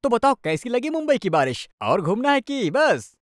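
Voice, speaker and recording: Woman as very lively, complaining young husband. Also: a little high, moderate pace, no room sound, clean studio sound. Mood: eager. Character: young husband, teasing but easily giving in. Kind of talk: animated Hindi moral-story dubbing.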